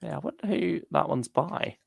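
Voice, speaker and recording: Very creaky man, chatting casually. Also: on the high side, expressive, moderate pace, dry recording, clean studio sound. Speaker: co-host of a fan discussion stream. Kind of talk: casual fan chat.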